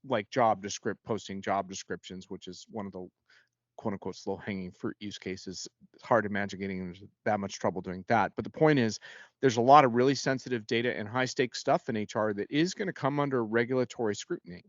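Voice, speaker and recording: A man's voice: fairly low pitch, a little fast, dry recording, dull audio. A man speaking as a guest analyst in an unscripted panel discussion, in a conversational way with frequent self-corrections.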